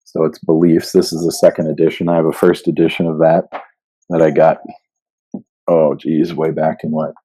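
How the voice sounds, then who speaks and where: Male podcast co-host, low mellow voice, casual conversational delivery. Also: little pitch movement, moderate pace, husky, rough recording. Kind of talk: casual chat.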